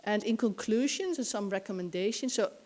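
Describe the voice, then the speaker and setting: A woman's voice: mid-range voice, a little fast, darkish tone. An older woman from the Netherlands giving an academic conference presentation, thinking aloud with some hesitation. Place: hotel conference room. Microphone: podium gooseneck microphone.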